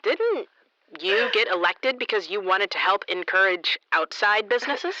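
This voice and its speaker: A woman's voice: mid-range voice, lively intonation, pushed and bright, no room sound, muffled recording. A woman playing an earnest, flustered public radio interviewer in a comedic audio drama, politely professional but growing exasperated. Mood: earnest, defensive. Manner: halting professional politeness giving way to indignation.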